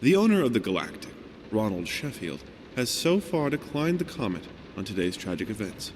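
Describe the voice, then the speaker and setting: A man voicing a formal newspaper reporter in a horror game, narrating articles in a measured, theatrical way. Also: lowish voice, very animated. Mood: grave reporting. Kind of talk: scripted dramatic news-style narration. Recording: long hall reverb, faint background noise.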